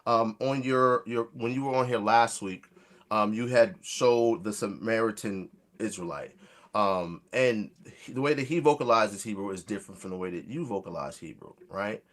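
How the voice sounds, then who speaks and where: A man debating in a soft, hesitant way, often restarting his sentences. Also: on the low side, moderate pace. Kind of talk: conversational debate.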